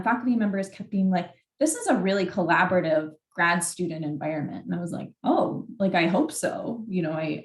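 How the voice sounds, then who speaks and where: Roomy echoey recording, young woman, medium pitch, informal workshop advice, candid, conversational. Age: young adult. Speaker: graduate student mentor leading a workshop.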